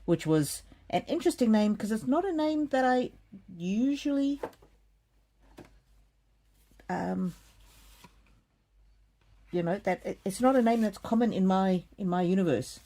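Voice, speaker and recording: A middle-aged woman from South Africa giving a gentle live oracle card reading, speaking softly and addressing viewers by name. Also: medium pitch, expressive, measured pace, pauses often. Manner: gentle, soothing, talks straight to viewers by name. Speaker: card reader.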